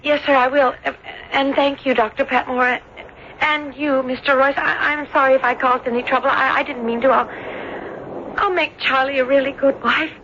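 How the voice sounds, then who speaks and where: An earnest, timid young bride-to-be in an old radio drama. She pleads politely and haltingly in a high, bright voice. The recording is narrow and faintly hissy. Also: fairly even intonation. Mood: meek, apologetic. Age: young adult.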